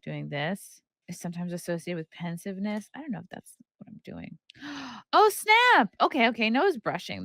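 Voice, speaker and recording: Woman, podcast host, gravelly fry, dry close sound, casual reading with commentary, playful and flippant. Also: fairly low pitch, a bit bright, no echo. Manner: irreverent, playful, with flippant asides. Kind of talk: casual live chat, reading aloud from a book with commentary.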